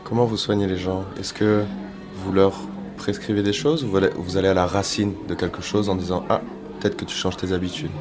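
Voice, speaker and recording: A man working as a radio reporter, asking quick, curious questions in street interviews. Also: deep voice, little pitch movement, over music. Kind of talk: vox pop interviewing.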